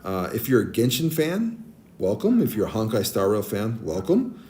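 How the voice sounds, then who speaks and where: A man in his forties with a naturally deep, mellow voice chats with his viewers and hands out friendly advice, pausing on ums and starting over. He talks into a tablet mic. Faint noise sits behind him. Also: wildly swooping pitch. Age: 40s. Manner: friendly, advice-giving, many ums and restarts. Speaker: streamer. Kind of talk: casual chat with stream viewers. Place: abroad, streaming on a tablet.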